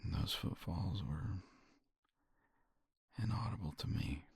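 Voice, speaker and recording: A man speaking softly and reflectively in a romantic ASMR roleplay, sighing often and sometimes dropping to a whisper, close to the mic. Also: deep voice, takes it slowly, slightly rough, no echo. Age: older. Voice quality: soft, intimate, drifts into whispers.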